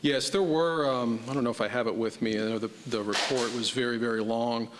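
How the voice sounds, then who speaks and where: A man, a police captain, answering a review board's questions in a measured, explanatory way at a public meeting. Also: mid-range voice, steady tone, in a large hall, background noise. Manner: measured, explanatory, admits uncertainty. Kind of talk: formal public meeting testimony. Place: city council chamber.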